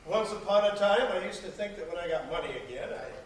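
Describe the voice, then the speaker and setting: A man's voice: high voice, fast talker, distant mic, close to an everyday voice. A man acting in a stage play as a blunt, exasperated older businessman, a grumbling father and husband. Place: theater stage at a college, filmed from the audience. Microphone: far camera. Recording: echoey stage recorded from afar, faint noise.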